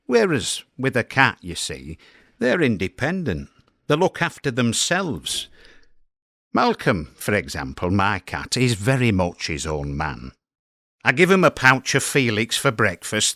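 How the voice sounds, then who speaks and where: British male cat owner played by an actor, chatty and wry, very lively intonation, close dry sound, comic radio monologue. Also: fairly low pitch, no echo, exaggerated voice. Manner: wry, conversational storytelling with emphatic swoops. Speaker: actor performing a comedy monologue.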